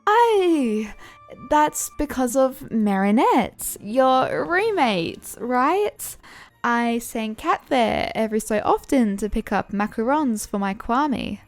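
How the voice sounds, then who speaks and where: A woman's voice: fairly high pitch, animated, slow pace, faint music behind. A woman voicing a confident, composed superheroine with a coaxing manner in a fan fiction audio story. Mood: falsely breezy. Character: poised superheroine, confident, covering smoothly.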